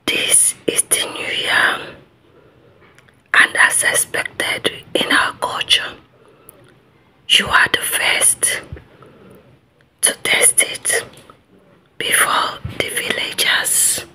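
A woman with an African accent tells a bedtime folktale in ASMR style. She whispers very slowly and deliberately. The sound of her voice is very bright and crisp-edged. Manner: slow, deliberate, drawn-out phrasing. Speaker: storyteller.

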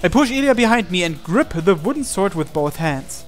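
A man with a fairly high voice reads a visual novel aloud over music. His delivery is earnest and theatrical, telling the story in the first person with dramatic intensity. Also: big pitch swings, normal speed. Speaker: let's-play reader of a visual novel.

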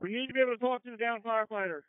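A man's voice: extremely high pitch, rapid-fire, clear, resonant tone, no room sound. A man speaking as a fire battalion chief in terse, urgent, clipped radio traffic over a two-way radio. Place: at a fire and collapse scene. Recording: phone-like fire radio channel.

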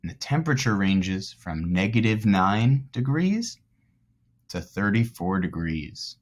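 Slow, low-voiced man teaching young children. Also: sing-song. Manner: explains simply, clearly for children. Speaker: elementary school teacher. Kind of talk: read-aloud lesson for young children.

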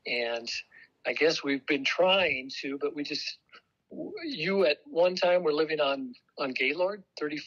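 A middle-aged American man speaking politely and haltingly on a recorded phone call. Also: a little high, a bit bright. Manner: hesitant, halting. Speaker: congregation elder on a phone call. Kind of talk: casual, polite phone conversation.

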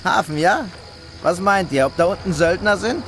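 A man's voice: sits high, animated, normal speed, fairly bright tone, reverberant, soft background music. An actor playing a brash, greedy male soldier with a cocky, needling manner in a video game. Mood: scheming.

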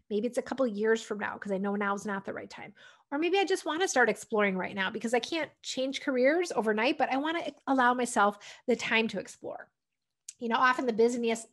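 American woman wellness coach talking to camera, kinda high voice, encouraging n chatty, checks in w "right?". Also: fairly bright tone. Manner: encouraging, conversational, often ending points with "right?". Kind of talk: instructional talk to camera with a guidebook. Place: furnished room at home, indoors. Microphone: desktop condenser microphone.

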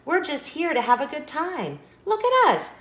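A woman's voice: high voice, huge pitch swings, normal speed, a bit bright, roomy sound, pitched above the performer's own voice, heavily acted. A woman voicing a polite, wise, cheerful little pumpkin seed in a children's storybook, courteous and earnestly advising. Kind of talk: children's storybook read-aloud.

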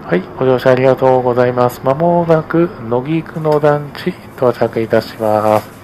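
A man's voice: medium pitch, normal speed, darkish tone, rough recording. A man working as a bus driver, giving polite, formulaic announcements to passengers over the onboard speakers. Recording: faint vehicle noise behind.

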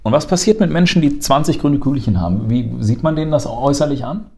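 A man in his thirties, seated on a sofa in a bright office room, explaining stress and thinking to a colleague in a fluent, lecturing way with frequent filler sounds, recorded on a lapel mic. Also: mid-range voice, expressive, fast talker. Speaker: emergency medicine educator. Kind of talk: explanatory conversation.

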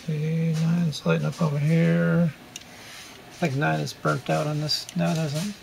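Gravelly man giving casual how-to tips. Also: fairly high pitch, a little slow. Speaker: repair video host. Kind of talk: casual hands-on how-to narration. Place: room at home. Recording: steady hum and room noise.

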